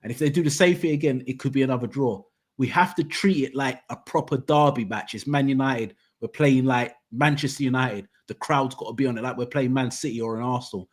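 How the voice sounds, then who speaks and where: A man's voice: medium pitch, fairly even intonation, fairly quick. A man from hosting a casual football debate, rambling and restarting his sentences as he argues. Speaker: football podcast host.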